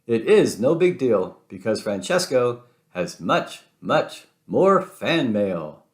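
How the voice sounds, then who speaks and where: A man's voice: medium pitch, very animated, takes it slowly. A man voicing a boastful Italian race car in a children's storybook, with an Italian accent and a pompous manner. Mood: smug. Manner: pompous, speaks of himself in third person. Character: boastful Italian male race car, grandly self-assured.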